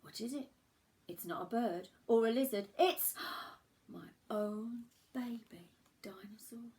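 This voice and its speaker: A woman's voice: a little high, takes it slowly, mellow. A woman voicing an earnest, tender-hearted young boy in a children's storybook, speaking with emphasis. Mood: amazed.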